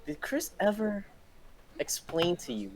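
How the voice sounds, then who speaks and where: A man's voice: high-pitched, huge pitch swings, a little slow. A man co-hosting a podcast, chatting casually and teasing his friend. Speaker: podcast co-host. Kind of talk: casual chat.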